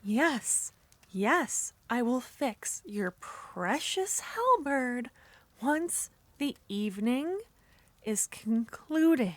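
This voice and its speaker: A woman performing an ASMR roleplay as a jealous, possessive witch, speaking softly and often whispering. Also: fairly high pitch, big pitch swings, very slow, no echo. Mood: flirty.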